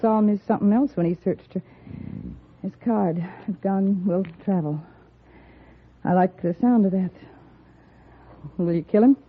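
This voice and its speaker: A woman's voice: mid-range voice, unhurried, pauses often, slightly rough. An actress playing a hard-bitten, cynical saloon woman in an old-time radio western. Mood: grim, urgent. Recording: tinny, narrow old radio recording.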